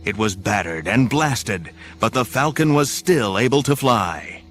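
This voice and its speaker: A man's voice: fairly low pitch, animated, measured pace, a bit bright. A man narrating an adventure audio drama in a scripted, dramatic storytelling style. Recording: music behind the narration.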